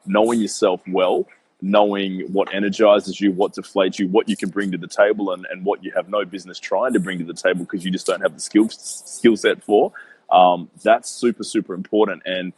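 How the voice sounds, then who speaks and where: Low husky-voiced man in his thirties. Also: fairly even intonation, moderate pace, darkish tone, no room sound, low-quality recording. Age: 30s. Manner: rambling, earnest, lots of "um" and "you know". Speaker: guest, business owner. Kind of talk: casual interview conversation.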